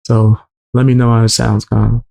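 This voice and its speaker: A man with a low voice hosting a livestream, chatting in a laid-back way with viewers. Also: little pitch movement, normal speed, creaky, background noise. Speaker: livestream host. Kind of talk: casual livestream chat.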